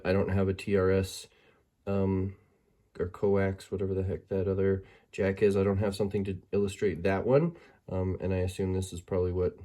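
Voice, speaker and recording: A man's voice: low voice, warm tone. A man giving a casual hands-on product review, thinking aloud hesitantly, as an amateur reviewer. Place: indoors by a window. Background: music tracks played as demonstration.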